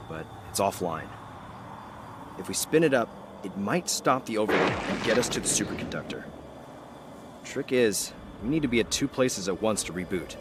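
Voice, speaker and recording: A man voicing a gruff, world-weary veteran soldier in a video game, terse and plain-spoken. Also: lowish voice, background noise, close to an everyday voice. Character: weary, gruff veteran soldier, a grieving male fire team leader. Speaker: mission-giving game character.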